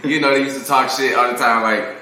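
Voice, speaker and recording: A man's voice: medium pitch, fairly even intonation, fast, bright, forceful tone. A man chatting casually as an interview guest, candid and slangy, laughing easily. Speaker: singer-songwriter, interview guest. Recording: echoey room, faint background noise.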